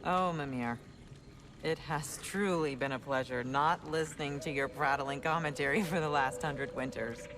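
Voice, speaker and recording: A woman playing a proud, stern middle-aged noblewoman in a fantasy video game, speaking in a formal, firm, measured manner. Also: sits low, noisy background, lightly acted. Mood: dignified, wry.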